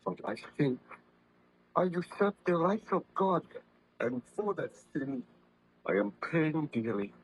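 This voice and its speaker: A man's voice: a little high, sing-song, slow pace, long pauses, breathy edge, heavily acted. An actor playing an anguished, guilt-ridden nobleman scientist in an old-time radio horror drama, speaking in formal, fervent melodrama. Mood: grieving, penitent. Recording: vintage radio drama recording, slightly muffled.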